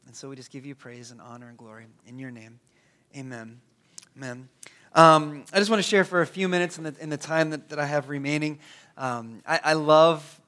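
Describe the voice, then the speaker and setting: A hoarse, raspy-voiced man in his thirties, a pastor praying and then preaching, who often stumbles and repeats words. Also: fairly high pitch, a bit bright. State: hoarse from a cold. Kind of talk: prayer and sermon.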